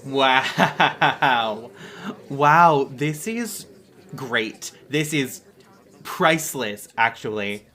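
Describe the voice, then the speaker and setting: A man's voice: mid-range voice, animated, extremely slow, bright, forceful tone, exaggerated voice. A man playing an indignant, sarcastic superhero who writes and produces musicals in an audio drama, with a wounded, theatrical manner. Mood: bitter sarcasm.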